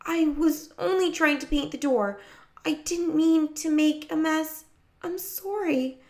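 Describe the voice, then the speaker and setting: Lady doing a eager little sister in a storybook, higher voice, earnest n pleading. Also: very animated, slow pace, creaky, heavily acted. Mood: apologetic. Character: earnest, dogged young girl, meddling little sister.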